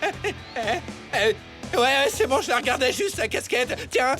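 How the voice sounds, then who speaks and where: An actor voicing a big, taunting but cowardly schoolyard bully boy in an animated cartoon. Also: high-pitched, measured pace, exaggerated voice. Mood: scared, backing down. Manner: taunting, slangy. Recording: cartoon soundtrack with music.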